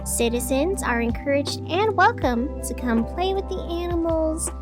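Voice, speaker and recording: A woman's voice: high voice, lively intonation, normal speed. A woman playing an earnest, flustered radio host in a scripted audio drama, chatty and a little hesitant as she bravely soldiers on. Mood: cheerful announcing. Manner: hesitant, self-reassuring, chatty. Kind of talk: scripted fiction podcast in the form of a community radio broadcast. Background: music under the voice.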